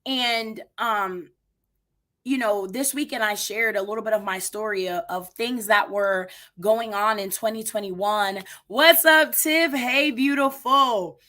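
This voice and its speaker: A woman in her thirties hosting an energetic motivational live stream, talking straight to her viewers, her voice a little raspy today. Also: on the high side, slow pace. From United States. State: slightly raspy voice. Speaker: livestream host.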